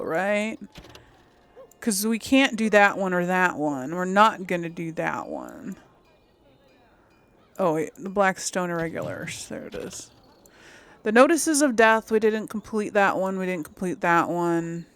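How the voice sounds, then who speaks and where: A woman talks her way through a video game, thinking aloud in a chatty ramble. Her pace is unhurried, while her pitch moves around a lot. She sounds easily amused and casual. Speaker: gameplay host.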